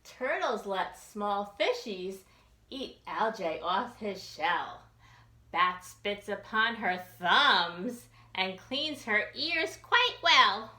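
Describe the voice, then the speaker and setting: A woman children's librarian reading a picture book aloud for young children in an expressive, sing-song questioning way. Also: mid-range voice, extremely slow, echoey room. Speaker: children's librarian hosting storytime.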